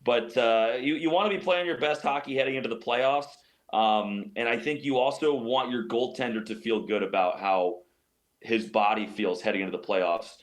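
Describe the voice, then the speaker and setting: A man's voice: medium pitch, normal speed. A man giving sports analysis as an interview guest, explaining his points emphatically and often repeating phrases. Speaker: radio play-by-play announcer as guest.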